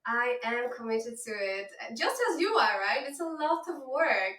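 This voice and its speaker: A woman's voice: a little high, animated, moderate pace, sharp tone, echoey room, recorded from a distance. A woman in her thirties from Poland with a Polish accent, chatting enthusiastically as an interview guest. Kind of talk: casual interview chat.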